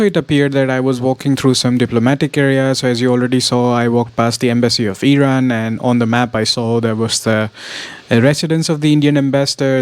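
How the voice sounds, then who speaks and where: A young man narrating his travel vlog as a casual voiceover, relaxed and rambling with fillers. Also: mid-range voice, smooth, dry recording. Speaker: travel vlogger.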